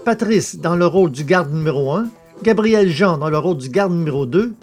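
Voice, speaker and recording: A man presenting an audio drama, reading the episode credits in a measured, formal way. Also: a little high, expressive, soft background music. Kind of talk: scripted credits announcement.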